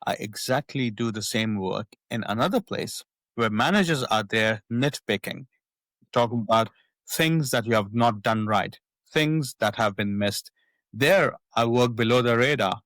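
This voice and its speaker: A man's voice: medium pitch, a bit bright, no echo. Pakistani-accented man, thoughtful and repetitive. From northwest Pakistan. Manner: thoughtful, frequent repetitions and restarts. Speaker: psychiatrist guest. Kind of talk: reflective interview talk.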